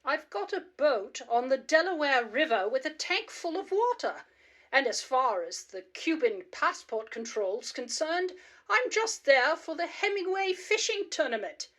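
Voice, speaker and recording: A woman's voice: sits high, very animated, measured pace, fairly bright tone, full character voice. A woman playing a brash, determined, reckless woman zookeeper in a radio play, with an emphatic, theatrical delivery. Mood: brash, confident.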